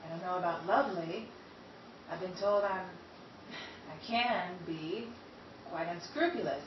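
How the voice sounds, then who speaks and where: A woman playing a guarded, self-mocking secretary who speaks in a hushed, coy way, in a 1930s-style radio drama. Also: on the low side, a little slow, close to an everyday voice. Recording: roomy, distant, dull and noisy.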